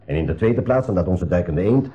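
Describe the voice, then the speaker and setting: Low-voiced practical Flemish helmsman. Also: animated, a little fast, dark tone, lo-fi sound. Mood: eager, reasoning. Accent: Belgian Dutch. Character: practical, eager male submarine helmsman. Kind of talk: 1980s school radio play acting. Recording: noisy radio drama recording.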